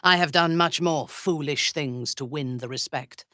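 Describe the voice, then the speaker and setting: An actress voicing a ruthless, imperious female dark elf commander in a fantasy game, with haughty, formal, menacing delivery. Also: low voice, a little slow, dry recording, studio quality. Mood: cold, haughty. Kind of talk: dramatic fantasy game voice acting.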